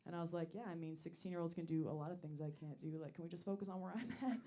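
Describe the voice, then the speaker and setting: A woman in her late twenties with a deep voice doing a deadpan, self-deprecating stand-up set on a comedy club stage. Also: racing. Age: late 20s.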